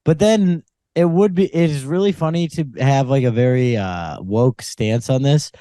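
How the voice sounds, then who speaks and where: A man's voice: mid-range voice, normal speed, warm tone, dry recording, studio quality. A man co-hosting a comedy podcast, trading loose banter and laughing often. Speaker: podcast co-host. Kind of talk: casual comedy chat.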